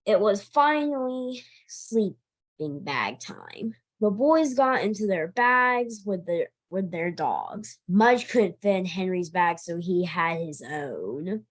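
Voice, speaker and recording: A woman reading a children's story aloud in an animated, expressive storytime style, now and then stumbling over a word. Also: mid-range voice, slow. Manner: expressive, emphatic, occasionally stumbling over words. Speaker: library director reading a picture book aloud.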